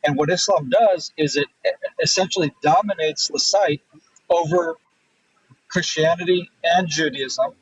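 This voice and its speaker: A husky, slightly high-voiced man from Israel speaks as an interview guest, pausing to find his words and restarting his sentences. He sounds set back from the microphone on a dull, lo-fi remote line. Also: dry recording. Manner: thoughtful, pauses with fillers and restarts. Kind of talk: conversational interview answers. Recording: distant, dull remote audio.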